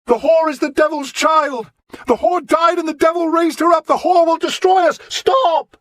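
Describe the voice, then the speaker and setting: A man's voice: a little high, fairly quick, no room sound, voice pushed up, close to an everyday voice. A man voicing a frenzied blind old monk who howls and chants in a raving fit. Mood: shrieking. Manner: shrieking, howling zealot chant.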